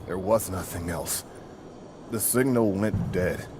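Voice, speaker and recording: A wounded male colonist from a game, speaks very slowly in a lowish, haunted voice. There is clear noise in the background. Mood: shaken. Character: shaken, injured male mining colonist, bitter and defiant. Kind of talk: video game cutscene acting.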